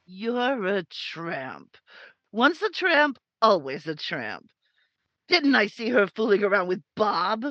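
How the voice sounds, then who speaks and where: An actress playing a hostile, suspicious middle-aged mother-in-law in a 1950s-style radio crime drama, with scornful, drawn-out accusations. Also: mid-range voice, sing-song, bright, forceful tone, no echo, dull audio, full character voice. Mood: accusing.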